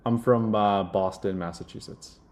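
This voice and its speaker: A young man speaking casually and haltingly with many filled pauses, as in a product demo. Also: fairly low pitch, fairly even intonation, darkish tone. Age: young adult. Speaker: software demo presenter playing a job candidate.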